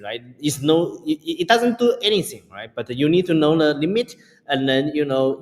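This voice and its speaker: A man's voice: mid-range voice, a little mellow. Male founder, English, stop-start answers, reverberant room. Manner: halting, repeats words, many fillers. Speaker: company founder, guest. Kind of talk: casual interview answers.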